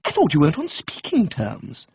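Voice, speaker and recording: Man acting Bertie, breezy high-pitched posh English gent. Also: wildly swooping pitch, moderate pace, creaky, darkish tone, breathy edge, no echo, highs cut off, heavily acted. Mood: astonished. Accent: upper-class English. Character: Bertie, flustered, well-meaning upper-class English young man.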